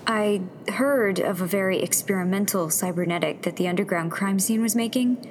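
A woman playing a thoughtful, self-doubting young rebel in a sci-fi radio drama, with a soft-spoken, hesitant manner. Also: medium pitch, normal speed, slight background noise, close to an everyday voice. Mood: uneasy, explaining.

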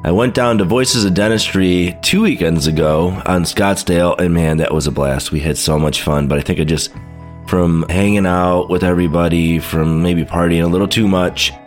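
A man's voice: low-pitched, normal speed, faint music behind. A man hosting a podcast, talking casually with lots of fillers and sounding stuffy from a head cold. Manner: chatty, loose, lots of fillers. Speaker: podcast host. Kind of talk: casual solo podcast talk.

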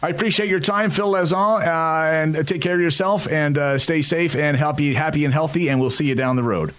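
A man's voice: a little high, brisk, fairly bright tone, smooth. A man from French Canada hosting an interview show, asking long, rambling questions with many fillers. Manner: rambling, filler-heavy phrasing.

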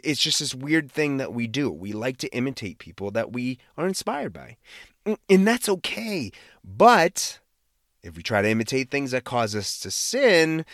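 A man's voice: medium pitch, fairly bright tone, no room sound, clean studio sound. An American man hosting a casual devotional talk, speaking conversationally and emphatically, often repeating words for stress and checking in with the listener. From Boston area, United States. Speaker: podcast host.

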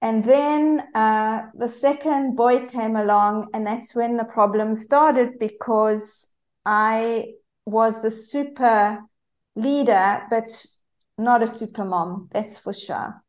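A woman's voice: fairly high pitch, slow pace, a little mellow. A woman in her forties with a South African accent, a guest coach telling her personal story candidly with frequent fillers.

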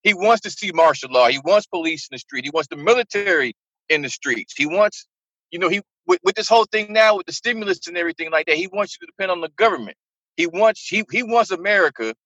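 A man's voice: high voice, expressive, quick pace, sharp tone, breathy edge, no echo, highs cut off. A man on a podcast, talking fervently and opinionated in casual chat.

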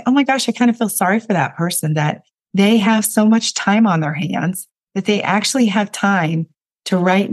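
A woman hosting a solo podcast for coaches, raspy from a cold, talking directly and encouragingly to her listeners. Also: mid-range voice. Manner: direct, encouraging, addresses listeners as you guys. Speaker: podcast host and business coach.

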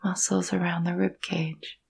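A woman leading a guided meditation in a soft, breathy, husky voice, gentle and soothing, with long pauses between phrases. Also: on the low side, flat delivery. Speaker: yoga nidra meditation guide.

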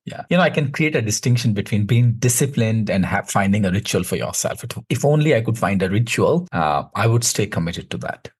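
A man with an Indian accent hosts in a low, husky voice, recorded close and dry. He talks reflectively, setting out his thoughts in deliberate, measured phrases. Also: animated, dry recording. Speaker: podcast host. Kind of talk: reflective conversation.

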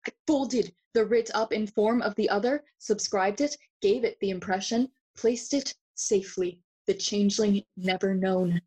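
A woman playing a passionate, grieving young prince in a bilingual Spanish and English Shakespeare audio drama, husky and theatrical. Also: mid-range voice, moderate pace, darkish tone, lightly acted, low-quality recording. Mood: intense, wry recounting. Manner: theatrical, declamatory, slips between Spanish and English.

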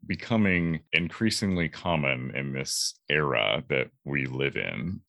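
Very deep, slow-talking, thoughtful man. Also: a bit bright, dry recording, studio quality. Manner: thoughtful, measured, halting. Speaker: voice actor being interviewed. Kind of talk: reflective interview talk.

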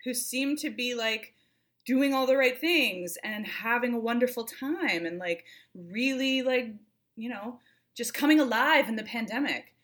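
A woman's voice: fairly high pitch, a little slow, fairly bright tone. A woman co-hosting a reflective conversation, talking thoughtfully and searching for words as she repeats and reworks her phrases. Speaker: co-host. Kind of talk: reflective conversational talk on self-care.

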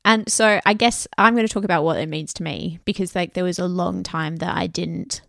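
A woman co-hosting a home podcast in a lowish voice with a gravelly fry. She rambles in an earnest way and laughs easily. The recording is close and dry. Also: fairly even intonation, brisk, a bit bright, no room sound. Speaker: podcast co-host. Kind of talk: casual chat.

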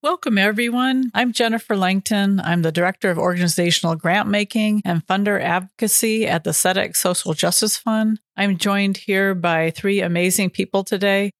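A woman's voice: medium pitch, normal speed, few pauses, no room sound, studio quality. A woman hosting a talk podcast, introducing guests and putting questions to them. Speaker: podcast host. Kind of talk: welcoming guests, reading bios.

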